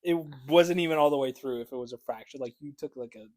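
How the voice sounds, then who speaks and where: A man's voice: medium pitch, quick pace, darkish tone. A young man as a podcast guest, joking casually with slangy, sweary banter.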